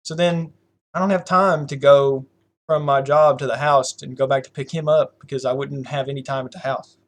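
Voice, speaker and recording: A man's voice: medium pitch, fairly even intonation, normal speed. Young southern guy rambling on a podcast, lots of um and like, laughing. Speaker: podcast host. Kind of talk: casual chat.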